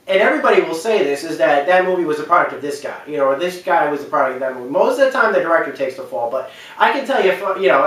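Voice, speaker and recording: A man's voice: a little high, fairly bright tone. A man giving an unscripted, opinionated commentary, rambling and backtracking as he makes his points with emphasis. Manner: rambling, hesitant, often backtracking. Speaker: host giving video commentary. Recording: very echoey room, distant from mic.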